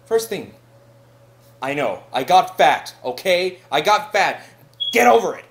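A man's voice: high voice, very animated, a little slow, slight background noise, full character voice. A man playing an irritable young roommate in a mockumentary comedy sketch, whiny, exasperated and emphatic.

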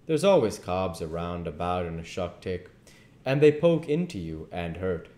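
A man in his thirties reading a classic novel aloud deliberately, with occasional stumbles. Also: low-pitched, big pitch swings, darkish tone. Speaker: amateur audiobook reader. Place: living room at home, indoors. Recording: echoey home room recording.

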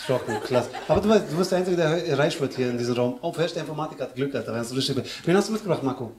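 A man in his thirties doing loud, teasing crowd work at a live stand-up show, slangy and laughing often, into a handheld mic. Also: mid-range voice, expressive, rapid-fire. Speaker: stand-up comedian. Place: small comedy club stage with audience. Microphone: handheld stage mic. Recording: echoey club with audience noise.

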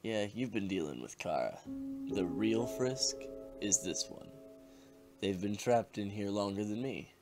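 A man's voice: fairly low pitch, animated, measured pace. A man voicing a wry, weary, half-dead skeleton with a laid-back joking manner in a comic dub. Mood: easygoing, fond. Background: music.

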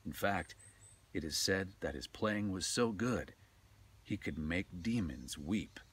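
A man's voice: low voice, lively intonation, takes it slowly, a bit bright, breathy edge. A middle-aged man reading a ghost story aloud in a dramatic, scripted storytelling style. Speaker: storyteller, narrator. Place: outdoors in a forest.

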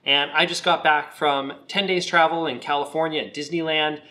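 A man with a slightly croaky voice, recovering from a cold, giving a relaxed, chatty product review on his video channel. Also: a little high, moderate pace. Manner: relaxed, chatty, with some fillers.

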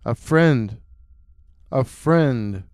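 A man voicing a towering, grim forest stranger who speaks in a deep, hollow, distant-sounding voice with slow, solemn warnings. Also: lively intonation, darkish tone, no room sound, clean studio sound.

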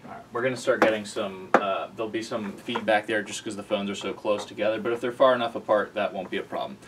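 A young man presenting a casual tech tutorial in an informal, halting way, often correcting himself. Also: fairly low pitch, fairly even intonation, very noisy. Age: young adult. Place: small office cubicle indoors, then screen recording voice-over.

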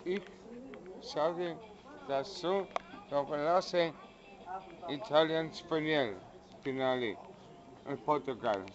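A middle-aged man answering questions outside a café, halting and hesitant, repeating his words as he searches for them. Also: on the high side, a crowd in the background. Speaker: interviewee. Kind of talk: casual street chat. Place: outdoors in front of a café. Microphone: handheld camera nearby. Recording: muffled lo-fi sound with people around.

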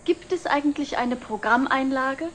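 Casting: actress as sulky young girlfriend, high voice, faintly hissy old TV drama sound. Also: moderate pace, natural voice. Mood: sulky, suspicious. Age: young adult. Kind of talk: 1960s TV drama acting.

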